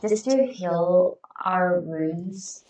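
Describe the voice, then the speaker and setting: A woman's voice: lowish voice, extremely slow, noisy background. A woman gamer giving casual, informal let's play commentary, reacting aloud to the game. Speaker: gamer narrating a playthrough. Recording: faint game music behind.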